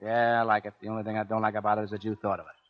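An actor playing a gruff, commanding navy chief petty officer in a 1940s radio drama, blunt and terse. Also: male voice, fairly low pitch, level tone, rapid-fire, lightly acted. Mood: stubborn. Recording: dull 1940s radio broadcast recording.

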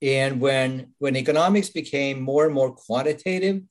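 An older man from Sweden with a Swedish accent, answering interview questions thoughtfully with frequent pauses and restarts. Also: mid-range voice. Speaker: guest.

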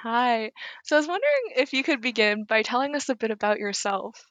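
A woman's voice: on the high side, animated, fairly quick, a bit bright, no echo. A woman hosting a book podcast, reading a polished introduction and asking interview questions. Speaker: podcast host.

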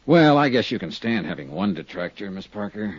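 An actor playing a cool, probing insurance investigator in a 1950s radio drama, asking measured, courteous, insinuating questions. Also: male voice, on the low side, sing-song, slightly rough, dry recording. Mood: calm, courteous. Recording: dull, dry 1950s radio recording.

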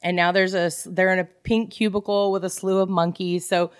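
Woman doing a casual book club podcast, bit low voice, geeky and animated, really dry sound. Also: a little fast, clear, resonant tone, dry recording. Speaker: podcast co-host. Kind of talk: casual book club chat, reading out quotes.

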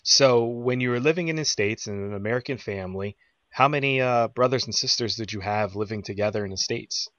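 A man's voice: fairly low pitch, brisk, a bit bright, no room sound. Man, casual interviewer, teasing and loose, muffled dry recording. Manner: joking, rambling questions with fillers. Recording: dry, dull lo-fi sound.

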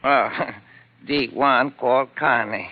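Slow, courteous Chinese host acted by a man. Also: mid-range voice, close to an everyday voice. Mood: courteous, welcoming. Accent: put-on Chinese. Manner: formal, halting broken phrasing. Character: courteous, loyal old Chinese male innkeeper friend. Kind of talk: old-time radio drama acting. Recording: dull vintage radio recording, faint music.

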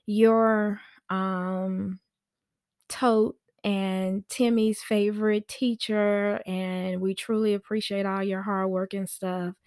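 Female podcast storyteller, medium pitch, informal and animated, recorded dry. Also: no room sound. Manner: animated, chatty, acts out quoted conversations; informal slangy speech. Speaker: podcast host and nanny. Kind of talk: casual personal storytelling on a podcast.

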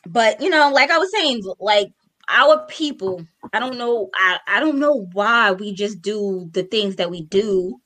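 A woman's voice: medium pitch, lively intonation, a little slow, fairly bright tone. A woman of thirty-eight co-hosting a call-in talk show, joking and emphatic in casual chat. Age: 30s. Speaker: radio show co-host.

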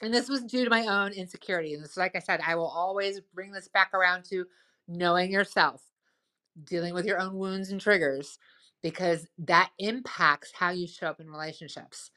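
A woman with a somewhat low voice hosts a relaxed advice conversation. She is loud and enthusiastic. She keeps checking in with her listener with "right?". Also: moderate pace. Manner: lots of "like" and "right?". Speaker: host. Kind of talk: casual advice chat.

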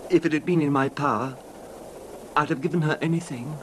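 A man's voice: a little high, close to an everyday voice. A man acting a genteel, hesitant middle-aged husband, a wealthy and nervous recluse, in a radio drama. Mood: resigned. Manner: genteel, wistful, halting, formal wording. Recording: noisy vintage radio drama recording.

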